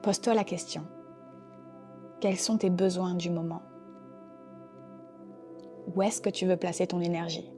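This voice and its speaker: Mellow-voiced woman guiding relaxation. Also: on the low side. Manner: calm, gentle, unhurried guiding. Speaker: yoga nidra guide. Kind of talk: guided relaxation meditation. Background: soft background music.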